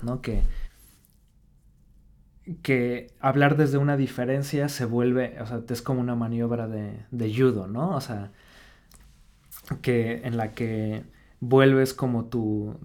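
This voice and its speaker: A man from Mexico with a Mexican Spanish accent, speaking as an artist in an interview, thinking aloud in a halting way with many fillers, restarts and tag questions. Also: medium pitch, a little mellow. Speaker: artist guest. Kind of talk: reflective interview talk.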